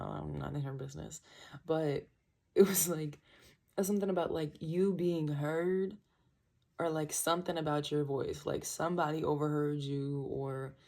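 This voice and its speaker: A woman's voice: deep voice, creaky, mellow. A young woman doing a casual tarot reading from home in the early morning, rambling and trailing off, with birds chirping outside. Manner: rambling, trailing off, self-interrupting. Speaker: tarot reader. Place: small home room at night, birds outside.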